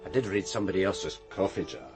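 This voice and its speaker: An actor playing a weary detective, wry and grumbling, in a naturalistic radio drama. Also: male voice, low voice, animated, fast talker, over music.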